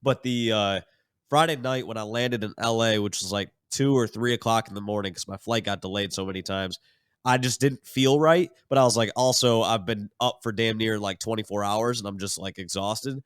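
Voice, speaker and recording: A man on a podcast as a guest, sounding stuffed-up and nasal from being sick, telling his story in a relaxed, rambling way. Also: on the low side, normal speed, smooth, no room sound, clean studio sound. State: congested, nasal from illness. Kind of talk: casual podcast chat.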